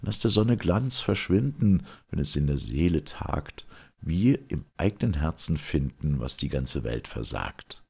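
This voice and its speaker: A man playing a solemn classical chorus, declaiming verse in a measured way. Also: very deep voice, sing-song, creaky, a little mellow, slightly rough, heavily acted. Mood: admiring.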